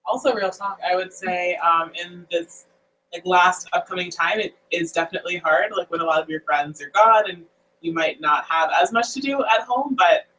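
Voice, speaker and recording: Young woman, bright and high, relaxed chatty panelist, mic at a distance. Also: moderate pace. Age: young adult. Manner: easygoing, says "like" often. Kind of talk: casual video call chat.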